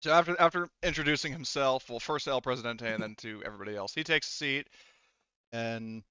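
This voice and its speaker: A man's voice: mid-range voice, pushed and bright, clean tone, dry recording. Man game mastering, slightly muffled mic. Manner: halting, conversational, casual swearing. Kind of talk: improvised narration of a tabletop game, casual.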